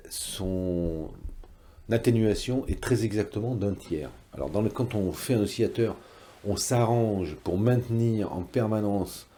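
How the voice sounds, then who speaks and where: A man's voice: fairly low pitch, mellow. A man giving a technical tutorial in a careful, didactic way, rephrasing step by step as he teaches. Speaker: electronics teacher, presenter.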